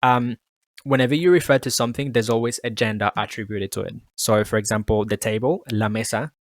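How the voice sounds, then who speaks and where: A man's voice: medium pitch, fairly even intonation, fairly quick, no echo. Man from Colombia, Spanish native, podcast co-host, casual rambling chat, restarts a lot, dry close sound. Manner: rambling, many restarts and repeated words.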